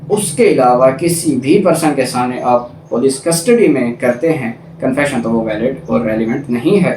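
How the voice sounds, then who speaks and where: Pakistani man, fairly high-voiced teacher type, explains and reiterates points, recorded in a very roomy space. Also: darkish tone. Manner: didactic, repeats points for emphasis. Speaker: law lecturer. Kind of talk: explanatory lecture, reading statutes and explaining them. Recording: roomy echo, distant mic, faint noise.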